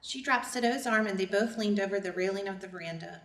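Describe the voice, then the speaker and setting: A devoted family servant tells the story, read by a woman in reader's theater. Her voice sits at an average female pitch with scripted storytelling phrasing. The room is noticeably echoey. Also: fast, a bit bright, natural voice. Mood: earnest storytelling.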